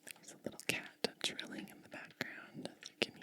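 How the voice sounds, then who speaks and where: A woman whispering softly and gently in an unhurried ASMR style, close to the microphone, pausing between short phrases. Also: dry recording. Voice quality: breathy, mostly unvoiced whisper. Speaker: ASMR host. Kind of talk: ASMR unboxing, hushed and soft-spoken.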